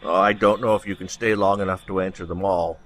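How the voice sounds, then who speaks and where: A man acting as an earnest male colony ship captain in a sci-fi radio drama. His voice is lowish and forceful, with an inquiring way of talking. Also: steady tone, fast. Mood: questioning.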